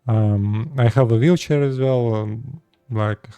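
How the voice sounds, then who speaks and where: A 36-year-old man from Russia with a Russian accent, speaking halting second-language English as he talks casually to his viewers. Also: mid-range voice, dark tone, smooth, dry recording, soft background music. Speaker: vlogger. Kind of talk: casual personal talk to camera.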